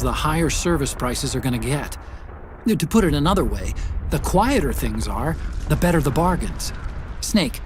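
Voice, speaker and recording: An actor playing an earnest, brainy tech ally in a video game, explaining things articulately in a briefing. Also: male voice, medium pitch, background noise, close to an everyday voice.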